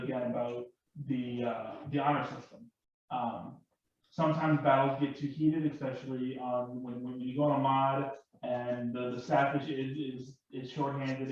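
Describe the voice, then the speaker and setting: A man on a panel casually explaining his hobby, halting and repeating words as he goes. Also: medium pitch, a little mellow. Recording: very echoey, dull, distant room audio.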